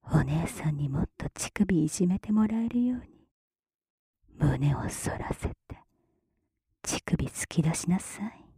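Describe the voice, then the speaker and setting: A woman speaks in a very airy, hushed whisper for adult ASMR. Her delivery is unhurried, teasing and softly commanding. The sound is dry and intimate, right at the microphone. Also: deep voice, animated, vocal fry, slightly rough, no room sound. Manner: slow, teasing, gently commanding big-sister persona. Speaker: erotic audio performer. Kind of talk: whispered erotic ASMR roleplay. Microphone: close mic, dry.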